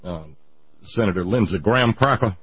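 A man hosting a show with sarcastic, rambling political commentary. His voice is husky and on the low side. The sound is a little dull and lo-fi. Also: expressive, moderate pace. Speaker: radio show host.

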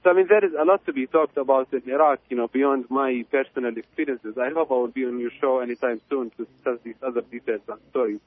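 A man with an Iraqi Arabic accent calls in to a radio show, his middle-pitched voice thin and tinny over the phone. He speaks haltingly, with many fillers and restarts. The line sounds dry and close. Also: no echo. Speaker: radio guest. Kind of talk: phone-in interview.

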